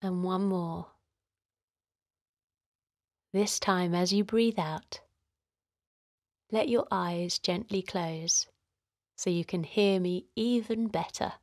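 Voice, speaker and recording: A woman gently guiding a children's relaxation in a soothing, encouraging way, her voice softly breathy and hushed at times. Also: fairly low pitch, slow pace, long pauses, dry recording, clean studio sound. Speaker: host of children's guided relaxation. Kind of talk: guided meditation narration for children.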